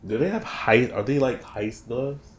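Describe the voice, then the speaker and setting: A man's voice: mid-range voice, a little slow. A man co-hosting a podcast in casual chat, often restarting and repeating himself. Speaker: podcast co-host.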